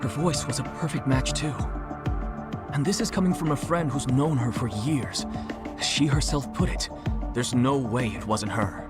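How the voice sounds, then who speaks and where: A man's voice: mid-range voice, loud music behind the voice, close to an everyday voice. A man playing a courteous, formal middle-aged chief executive and widower in an English-dubbed video game drama. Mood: earnest, hopeful. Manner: measured, formal, articulate. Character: polished, courteous male CEO and grieving widower. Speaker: company executive, client.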